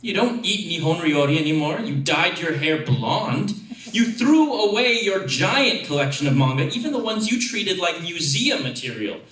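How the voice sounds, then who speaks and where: A man's voice: a little high, big pitch swings, moderate pace, fairly bright tone. A man voicing a concerned, persistent mother in a dramatic story reading, questioning and coaxing her son. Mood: worried, pressing. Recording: echoey room, distant mic.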